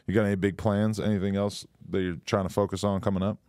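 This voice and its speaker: Deep-voiced laid-back podcast host man. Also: level tone, quick pace, a little mellow, no echo, clean studio sound. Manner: laid-back, short affirmations, casual swearing. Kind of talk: casual interview.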